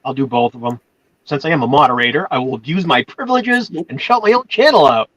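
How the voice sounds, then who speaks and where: A man's voice: medium pitch, lively intonation, fast, fairly bright tone, dry recording, poor audio. A man with a Boston accent chatting on a livestream, rambling with fillers and restarts as he moderates. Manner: rambling, full of fillers and self-corrections. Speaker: channel moderator. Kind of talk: casual chat on a livestream.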